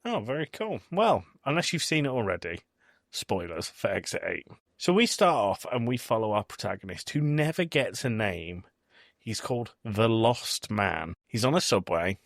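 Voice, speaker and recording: A man hosting a podcast, chatting casually about films in an animated storytelling way. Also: fairly low pitch, moderate pace, vocal fry, no echo, studio quality. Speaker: podcast host. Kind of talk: casual film review chat.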